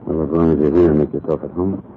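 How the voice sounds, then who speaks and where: Deep-voiced hard-boiled newsman, old radio. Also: fairly even intonation, fast talker. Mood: defiant. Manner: wisecracking, terse, tough. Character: hard-boiled, defiant male newspaper columnist narrating his story. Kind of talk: 1940s radio noir acting and first-person narration. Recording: noisy, muffled 1940s radio recording.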